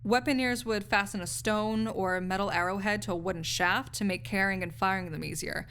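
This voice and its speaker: A woman's voice: mid-range voice, brisk, fairly bright tone, slight background noise, natural voice. A woman playing a snarky, skeptical university research assistant in an audio roleplay, speaking articulately in a conversational, lecturing way with dry asides. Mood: intrigued, explaining.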